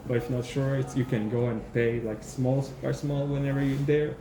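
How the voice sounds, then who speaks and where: A man's voice: medium pitch, fairly even intonation, moderate pace, noisy background. A man from Rwanda working as a phone shop salesperson, chatting in a friendly way and agreeing often. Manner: friendly, lots of yeahs. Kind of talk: casual chat. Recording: echoey room, faint music.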